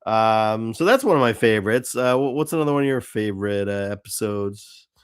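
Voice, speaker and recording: A man's voice: lowish voice, animated, fairly quick, smooth. Irreverent man hosting a casual fan chat. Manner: blunt, irreverent, swears casually. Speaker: host of a live fan show. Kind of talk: casual chat about cartoons.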